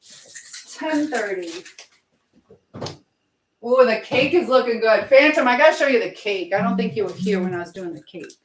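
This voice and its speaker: A woman's voice: fairly high pitch, animated, normal speed, pauses often, a little hiss or hum, rough recording. A woman hosting a casual live stream as she cooks in a home kitchen, chatting in a meandering way and greeting viewers. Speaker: livestream host. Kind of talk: casual live-stream chat while cooking, reading out comments.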